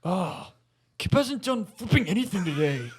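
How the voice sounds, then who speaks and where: A man playing a sullen, whiny teenage boy in a comedy script read, raspy and petulant. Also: sits high, sing-song, moderate pace, full character voice. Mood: sulky. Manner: petulant, put-upon.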